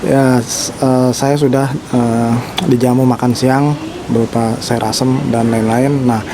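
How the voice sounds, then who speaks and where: A man interviewing an elder at his home, speaking hesitantly and filling pauses with "eh". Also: mid-range voice, flat delivery. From Indonesia. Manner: hesitant, with many fillers. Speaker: interviewer, publisher. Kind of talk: interview opening. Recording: noisy background.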